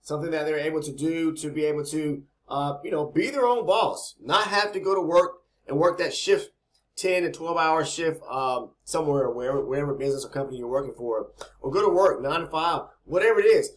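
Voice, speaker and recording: A man with a fairly high, slightly raspy voice gives a casual, rambling talk on trading. He repeats words and restarts often, and checks in with "okay?" as he goes. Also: brisk. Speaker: trading educator. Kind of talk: casual talking-head explainer.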